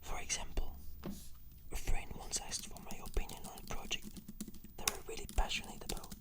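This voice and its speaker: A person whispering scripted questionnaire answers in an ASMR roleplay, in a breathy, measured and articulate way. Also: pushed and bright, no echo.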